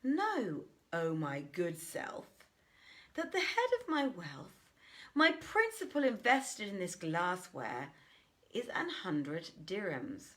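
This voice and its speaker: A man's voice: sits high, wildly swooping pitch, slow pace, pushed and bright, full character voice. A woman voicing a boastful, greedy glassware seller, a man musing grandly on future riches in a storybook tale. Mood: excited scheming. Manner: grandiose, self-absorbed musing. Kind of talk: dramatic storybook reading.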